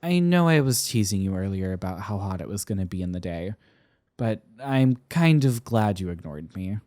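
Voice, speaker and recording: A man plays a college-age boyfriend, flustered, teasing and soft-hearted, in an intimate roleplay. His voice is on the low side and dark, recorded close and dry. He speaks slowly, stumbling shyly over his words. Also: expressive, dry recording, natural voice. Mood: affectionate, playful. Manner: hesitant, stammering, soft-hearted. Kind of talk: intimate romantic roleplay ASMR.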